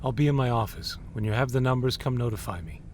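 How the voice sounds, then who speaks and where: A man's voice: medium pitch, fast talker, a little hiss or hum, natural voice. A man voicing the stern chairman of a rebel organization in an audio drama. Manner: stern, commanding.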